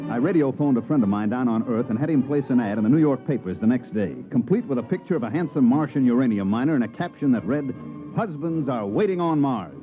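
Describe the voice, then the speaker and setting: A man's voice: medium pitch, lively intonation, fast talker, soft background music, natural voice. An actor playing a brazen male con man in a 1950s radio drama, glib and slangy. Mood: smug narrating. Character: fast-talking, brazen male swindler narrating his own con. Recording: dull vintage 1950s radio recording.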